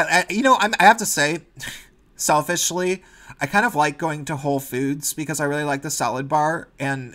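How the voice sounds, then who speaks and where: Nasal man ranting sarcastically. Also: a little high. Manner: sarcastic, rambling, animated. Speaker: commentary vlogger. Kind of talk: casual opinion commentary.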